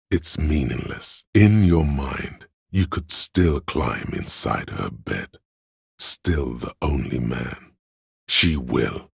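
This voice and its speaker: A man voicing a pompous, opinionated inner voice of artistic imagination in a video game, narrating theatrically and deliberately in a very deep, gravelly, husky voice. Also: no room sound, clean studio sound, heavily acted. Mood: somber, poetic. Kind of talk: dramatic second-person game narration.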